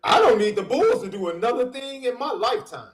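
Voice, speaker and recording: A man with a stuffy summer cold hosting a casual sports podcast, animated and laughing often. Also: very high-pitched, brisk. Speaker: podcast host and minister. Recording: roomy echo.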